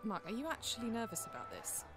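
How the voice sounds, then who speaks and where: An actress playing an anxious, caring friend who keeps the peace, in a naturalistic audio drama. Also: female voice, on the high side, sing-song, quick pace, fairly bright tone, faint music behind. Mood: concerned.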